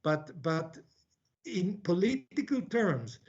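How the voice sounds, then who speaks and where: Man from Switzerland, high voice, blunt academic lecture, frequent restarts, muffled lo-fi sound. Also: very animated, slow pace, darkish tone. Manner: thinking aloud, with many restarts and repeated words; blunt, informal asides. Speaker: academic speaker. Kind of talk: conference talk.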